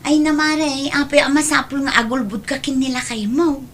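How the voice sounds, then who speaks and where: A woman's voice: sits high, normal speed, a bit bright, slight background noise. A woman playing a frank, questioning friend who sells goods and chases debts, speaking with an Ilocano accent in a radio-style comedy drama. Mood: incredulous.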